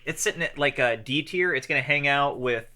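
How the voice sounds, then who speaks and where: Fast-talking young man with a bright voice. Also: mid-range voice. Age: young adult. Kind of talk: casual podcast chat.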